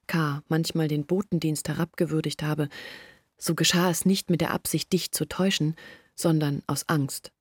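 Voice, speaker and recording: An actress playing an anxious young village woman who earnestly pleads her family's case in a radio play, intimate and deliberate. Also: sits low, a little mellow, breathy edge, no room sound, clean studio sound. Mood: earnest, confiding. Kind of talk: literary radio play monologue.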